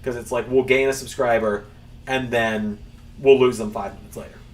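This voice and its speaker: A man's voice: mid-range voice, steady tone, normal speed. Rambling male gaming streamer, slightly distant mic. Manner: rambling, self-mocking, swears. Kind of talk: casual live-stream chat while playing.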